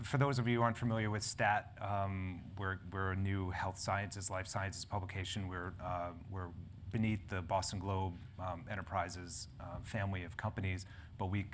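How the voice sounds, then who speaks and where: A man's voice: low voice, level tone, normal speed, a little hiss or hum. A man moderating a live panel discussion, talking in a rambling way with many restarts and fillers. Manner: rambling, self-correcting, frequent fillers. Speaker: panel moderator and editor of a health publication. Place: conference event in front of an audience.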